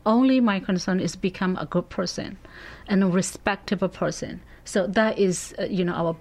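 A woman from Burma with a Burmese accent, speaking earnestly as an interview guest and pausing often to find her words. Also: mid-range voice. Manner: earnest, searching for words, many "you know" fillers. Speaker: interview guest, community advocate. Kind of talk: conversational interview.

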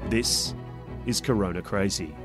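A man's voice: low-pitched, unhurried, loud music behind the voice. A man hosting a short podcast, reading scripted narration and asking interview questions with dry asides. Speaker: podcast host.

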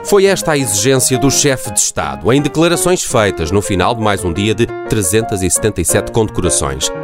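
Low-pitched male narrator over music. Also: expressive, a little fast. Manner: tongue-in-cheek, mock-solemn. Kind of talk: scripted radio drama narration. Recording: music bed under the voice.

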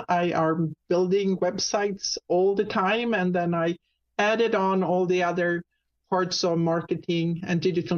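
A woman from Sweden with a Swedish accent, a guest telling her career story in a talk show interview, pausing often with ums and restarts. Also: lowish voice, dull audio. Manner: halting, many ums and restarts. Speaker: guest, marketing business owner.

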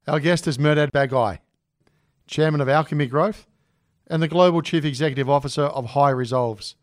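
A man hosting a podcast, reading a scripted introduction and asking interview questions in a measured way. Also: fairly high pitch, no echo, studio quality. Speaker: podcast host.

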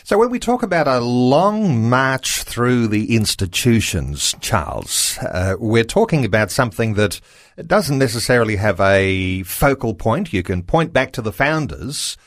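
A man interviewing a guest, setting up his questions in a measured way. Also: mid-range voice, very animated, dry recording, clean studio sound.

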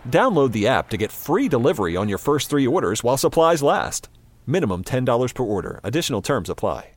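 A man's voice: medium pitch, big pitch swings, fairly quick, no room sound. A man reading a scripted commercial as an announcer. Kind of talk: scripted ad read.

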